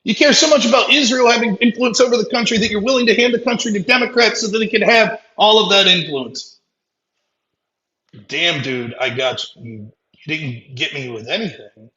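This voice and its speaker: A man's voice: high-pitched, moderate pace, pushed and bright, highs cut off. A male podcast host delivering a profane, combative, sarcastic political rant. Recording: roomy echo.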